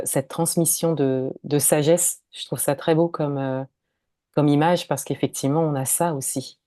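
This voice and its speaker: Low, mellow-voiced woman host. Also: no echo, studio quality. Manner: soft and hesitant, with many fillers while asking. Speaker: podcast host. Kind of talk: interviewer, casual conversation.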